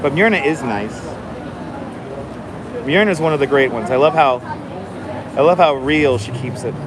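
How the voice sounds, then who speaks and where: A man hosting a casual live stream, chatting calmly and enunciating clearly while he waits in line outdoors. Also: medium pitch, moderate pace, a crowd in the background. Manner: calm, enunciated, chatty with viewers. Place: outdoors on a city street waiting in line. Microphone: phone held for a live stream. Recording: noisy outdoor phone stream. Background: city traffic and street noise.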